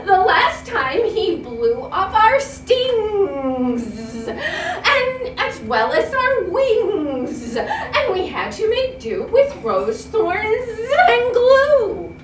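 Woman putting on frantic high buzzing bees. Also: sing-song, roomy sound, recorded from a distance, background noise, full character voice. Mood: distressed pleading. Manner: buzzing, drawn-out, wailing.

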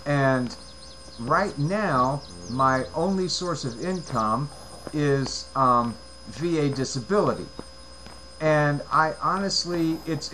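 A man in his late forties from the United States, talking through a video game in a rambling, halting way, with many fillers and repeated words, on a headset mic in a room at home. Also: medium pitch, a bit bright, a little hiss or hum, rough recording. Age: 40s. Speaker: let's play gamer. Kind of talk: casual gameplay commentary.

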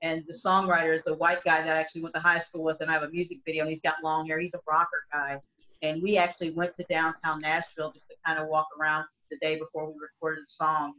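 A woman's voice: low-pitched, level tone, quick pace, pushed and bright. A middle-aged woman, a country singer, talking earnestly on a video call from home, with many restarts. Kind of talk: casual video call discussion. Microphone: laptop webcam mic on a video call. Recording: dull lo-fi video call audio.

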